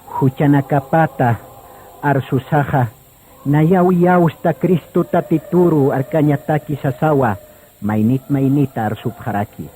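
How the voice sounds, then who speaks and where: A man narrating a scripted religious radio drama in a solemn, deliberate manner. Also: fairly high pitch, dark tone, a little hiss or hum, rough recording. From Bolivia.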